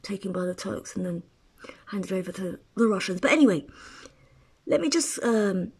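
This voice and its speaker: Husky-voiced woman rambling in a monologue. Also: medium pitch, lively intonation, moderate pace. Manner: rambling, with frequent restarts and asides. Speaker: writer. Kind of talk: casual personal monologue.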